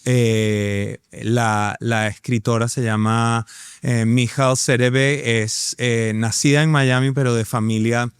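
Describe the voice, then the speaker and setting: A man's voice: mid-range voice, steady tone, slow, clear, resonant tone, no echo, clean studio sound. A man in his thirties with a Venezuelan accent, an actor talking as a guest in a casual interview, enthusiastic and full of fillers and restarts.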